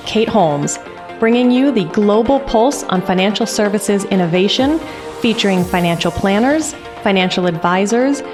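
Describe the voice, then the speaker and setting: A woman hosting a podcast, reading an upbeat intro and asking questions with enthusiasm. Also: medium pitch. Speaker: podcast host. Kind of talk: scripted intro and interview.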